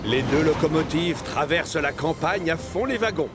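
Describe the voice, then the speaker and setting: A man's voice: on the high side, lively intonation, normal speed. A man reading scripted narration for a children's cartoon. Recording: clear music bed under voice.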